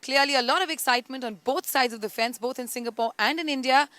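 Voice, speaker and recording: A woman hosting a racing show, delivering links briskly and upbeat. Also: high voice, sharp tone, dry recording. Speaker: show host. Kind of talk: presenter links.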